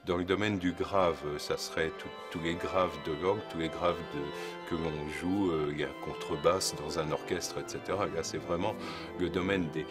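A man with a very low-pitched voice explains science for an educational documentary, with clear music behind him. He speaks off the cuff in a teacherly way, pausing and repeating words as he searches for the right phrase. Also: fairly even intonation.

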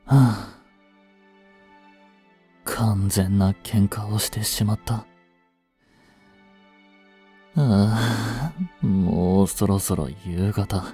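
A man's voice: on the low side, warm tone. A young man playing a stern, overprotective butler who speaks formally and earnestly, often sighing. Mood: dejected. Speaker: voice actor. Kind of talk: Japanese voice drama acting.

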